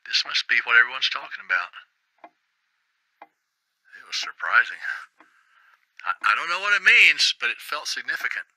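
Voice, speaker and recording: An actor playing a harried middle-aged radio producer in a video game, exasperated and rambling. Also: male voice, medium pitch, bright, forceful tone, no room sound, exaggerated voice, low-quality recording.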